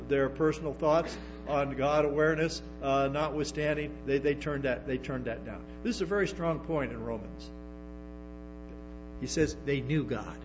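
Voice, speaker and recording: A man preaching a sermon to a congregation, stumbling through restarts and tossing in emphatic asides. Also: a little high. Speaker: pastor. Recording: dull lo-fi sermon recording with music and hum.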